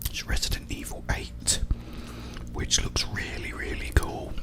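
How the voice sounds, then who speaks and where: A man whispering breathily in a hushed, unhurried ASMR ramble while eating. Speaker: ASMR video creator.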